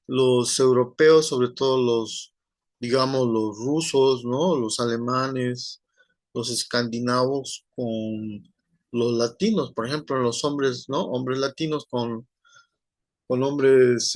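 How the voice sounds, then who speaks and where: A man lectures to an online class at an unhurried, deliberate pace. He rambles, pausing often and leaning on fillers and tag questions. The sound is dry and close, with no room echo. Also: mid-range voice, fairly bright tone. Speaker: teacher.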